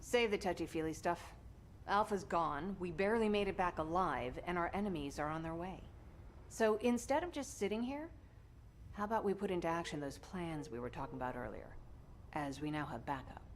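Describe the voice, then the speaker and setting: A woman's voice: lowish voice, expressive, a little fast, a little hiss or hum, natural voice. A woman voicing a blunt, tough female fighter. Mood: brusque. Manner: brusque, no-nonsense. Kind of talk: video game dialogue.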